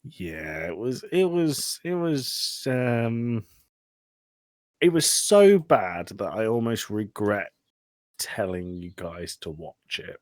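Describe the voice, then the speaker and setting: Low-voiced man, dry podcast host. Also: lively intonation, takes it slowly, a little mellow, no echo. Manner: sweary, dryly joking. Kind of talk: casual film review chat.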